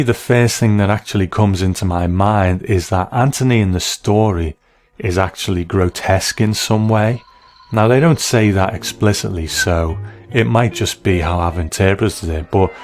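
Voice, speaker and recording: A man with a low voice talks through his analysis like a host. His delivery is measured and conversational, over music. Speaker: podcast host reviewing a TV episode.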